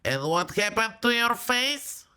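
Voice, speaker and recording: An actor playing an officious, brusque and condescending chief constable in an audio drama. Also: male voice, very high voice, very animated, measured pace, sharp tone, clear, resonant tone, heavily acted.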